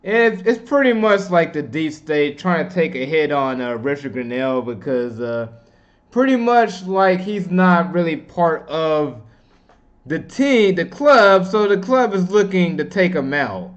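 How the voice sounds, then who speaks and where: A man's voice: on the high side, expressive, unhurried. A man giving casual, opinionated political commentary in a rambling, slangy, informal way. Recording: dull, muffled lo-fi audio.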